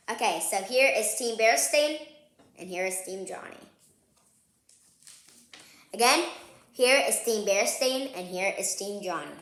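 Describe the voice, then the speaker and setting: A woman reading a children's picture book aloud, playful and expressive, chatting to young listeners between lines. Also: medium pitch. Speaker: read-aloud host. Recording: roomy, echoing sound.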